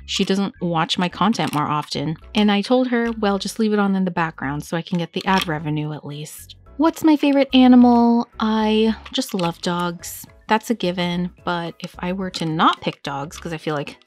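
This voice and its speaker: A woman's voice: lowish voice, animated, normal speed, few pauses, soft background music. A young woman chatting casually as she answers viewer questions for her toy-collecting vlog, reading them aloud and laughing at herself. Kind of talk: casual Q&A chat.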